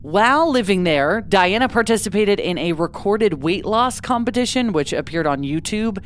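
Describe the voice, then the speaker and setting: A woman's voice: mid-range voice, expressive, normal speed, a little hiss or hum. Chatty woman narrating true crime. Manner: conversational, chatty asides. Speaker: podcast host.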